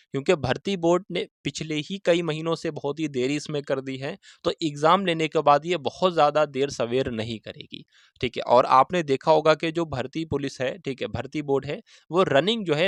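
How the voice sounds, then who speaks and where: A man talks straight to his viewers in a slightly high voice, recorded dry and close. He explains updates informally, circling back over points and tagging phrases with quick check-ins. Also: dry recording. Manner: repetitive, with frequent check-in tags. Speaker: exam-news video host.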